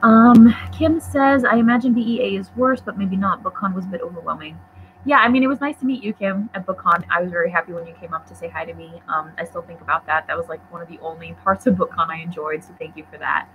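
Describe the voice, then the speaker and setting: A woman's voice: lowish voice, fairly even intonation, fast. A young woman born in Poland chatting casually on a livestream, answering viewer questions in a rambling, self-deprecating way with many fillers. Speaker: book vlogger. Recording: tinny phone-like livestream audio, faint noise.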